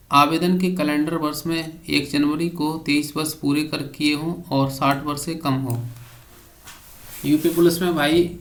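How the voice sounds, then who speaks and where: Higher-voiced man, monotone quiz delivery. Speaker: exam coaching teacher. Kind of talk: reading out quiz questions and answers for exam students.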